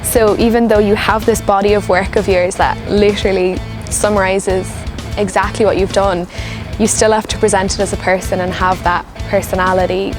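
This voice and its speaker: A twenty-year-old woman, talking as a student being interviewed, in a measured conversational way. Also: mid-range voice, level tone, music in the background.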